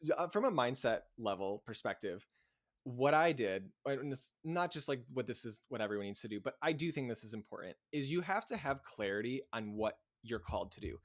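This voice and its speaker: A young man, a podcast guest, talking in an excited, chatty way, laughing often and filling his speech with "like". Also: mid-range voice, a little fast. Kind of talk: casual podcast interview.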